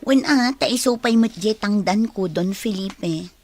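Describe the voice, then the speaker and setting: A woman's voice: medium pitch, a little slow, darkish tone, a little hiss or hum, lightly acted. A woman playing a feisty, scolding but loyal village wife in an Ilocano radio comedy drama. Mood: firm, defensive.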